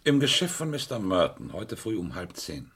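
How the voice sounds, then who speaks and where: An actor playing a brisk, sceptical male police inspector in a German radio crime play, questioning in a curt, dry, probing way. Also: lowish voice, big pitch swings, fairly bright tone, a little hiss or hum.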